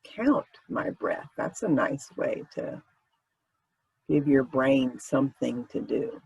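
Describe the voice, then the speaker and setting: Male meditation guide: husky, breathy tone, very slow delivery, gentle and informal. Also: medium pitch, expressive, poor audio. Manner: gentle, informal, unhurried phrasing. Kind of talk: guided meditation, conversational instruction.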